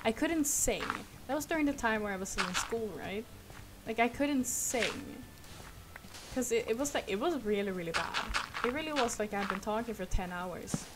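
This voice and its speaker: A young woman with a Swedish accent, a native Swedish speaker, chatting casually and rambling softly while she plays a game on stream. She speaks with a sore throat. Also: a little high, expressive, normal speed. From Sweden. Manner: rambling, soft, laughs often. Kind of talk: casual chat while gaming. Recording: loud noise behind the voice.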